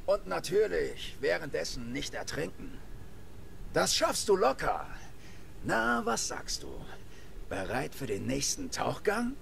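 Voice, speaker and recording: An actor playing a laid-back, roguish space scavenger in a video game, with a gravelly voice and a drawling manner. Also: male voice, fairly high pitch, big pitch swings, a little slow, fairly bright tone, a little hiss or hum, full character voice. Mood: wry, casual. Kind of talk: video game cutscene acting.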